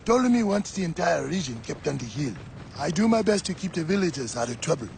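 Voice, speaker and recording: A man's voice: fairly high pitch, big pitch swings, fast talker, creaky, darkish tone, slight background noise. A male actor playing a beleaguered Egyptian villager and loyal friend in a video game, speaking earnestly and expressively. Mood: weary, grave. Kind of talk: dramatic video game acting.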